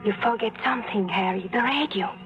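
An actress playing a guarded young woman on the run, speaking in a soft, measured, suspicious way in an old radio drama. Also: medium pitch, faint music behind, natural voice. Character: wary young woman fugitive, guarded and searching. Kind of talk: 1950s radio drama acting. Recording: thin, tinny old radio broadcast.

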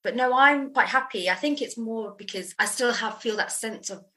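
Bright-voiced English woman hosting a podcast. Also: fairly high pitch, normal speed. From England. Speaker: podcast host. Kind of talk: podcast conversation.

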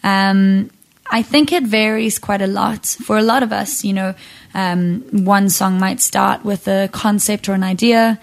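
A woman's voice: medium pitch, fairly even intonation, a little slow, creaky. A young woman from Zimbabwe, a singer-songwriter, talking casually in an interview, thoughtful and full of ums and restarts.

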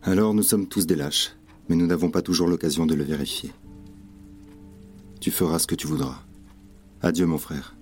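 Man acting a deep, stern rebel leader. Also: fairly even intonation, moderate pace, pauses often, a little mellow, soft background music, close to an everyday voice. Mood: solemn, resigned. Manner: terse, grave, authoritative. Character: stern, decisive male leader of revolutionary cell. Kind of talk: French radio theatre acting.

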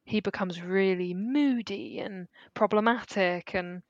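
A woman's voice: mid-range voice, dry recording, highs cut off. Chatty female podcast co-host. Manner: chatty, emphatic, quick to laugh. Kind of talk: casual film discussion.

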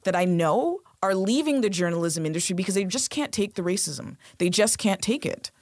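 A woman's voice: medium pitch, animated, fairly quick, no room sound, clean studio sound. A woman interview guest, talking in a direct, emphatic way. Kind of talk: interview conversation.

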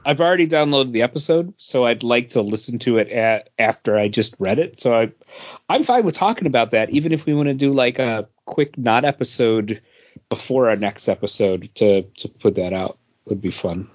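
A man's voice: mid-range voice, animated, normal speed, highs cut off. A man co-hosting a casual comics podcast, talking conversationally and slipping into quoted asides. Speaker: podcast co-host.

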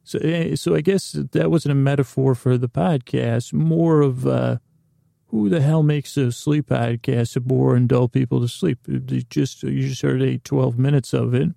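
A man hosting a bedtime podcast with a rambling, halting, goofy improvised monologue that trails off mid-sentence. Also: medium pitch, steady tone, creaky, dark tone, no room sound, clean studio sound. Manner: goofy, whimsical. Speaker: podcast host.

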